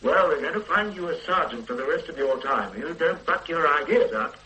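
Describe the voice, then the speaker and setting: Radio play: stern senior cop, mocking, bright and animated. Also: male voice, on the high side, fairly quick, rough recording. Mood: lecturing. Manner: lecturing, brusque, mocking. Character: stern middle-aged male police superintendent boss. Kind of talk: 1980s radio drama acting.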